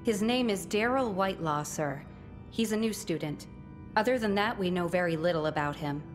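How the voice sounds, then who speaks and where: A woman playing a dutiful underling in a video game, reporting in a formal, measured, deadpan way. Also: fairly low pitch, soft background music, natural voice. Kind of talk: scripted video game dialogue.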